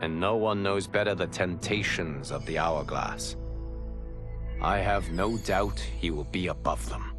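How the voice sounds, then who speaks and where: A wise god of time in a fighting game, solemn and formal. His voice is very low and unhurried, and music swells behind it. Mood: resolute. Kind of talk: scripted cutscene narration.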